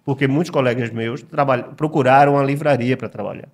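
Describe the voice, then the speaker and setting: A middle-aged man from Recife with a Pernambuco Brazilian accent, speaking as a guest writer in a relaxed interview and often stopping to repeat words as he thinks. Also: medium pitch, fast talker. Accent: Pernambuco Brazilian Portuguese. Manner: reflective, frequent hesitations and repeated words. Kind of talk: conversational interview.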